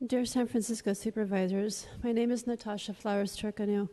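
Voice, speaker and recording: Mellow formal woman in an echoing hall. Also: mid-range voice, fairly even intonation, brisk. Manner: formal, earnest appeal. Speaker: public commenter. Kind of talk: prepared formal address at a meeting. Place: large echoing public meeting chamber. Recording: long echoing hall reverb.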